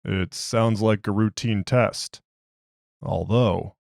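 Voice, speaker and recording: A man's voice: lowish voice, fairly even intonation, takes it slowly, gravelly, a little mellow, no room sound, studio quality. A man voicing a skeptical research doctor who questions a prisoner in formal, clipped, dismissive speech. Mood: measured, puzzled. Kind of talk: dramatized interview log.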